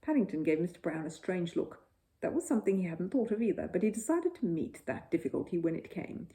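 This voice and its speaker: A woman's voice: fairly low pitch, big pitch swings, fairly quick, warm tone. A woman reading a children's bedtime story as a storyteller, in scripted narration.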